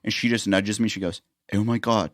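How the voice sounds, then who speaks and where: Low-voiced podcast host man, dry sound. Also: brisk, no echo. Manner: relaxed anecdotes, acts out quoted dialogue. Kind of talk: casual podcast chat.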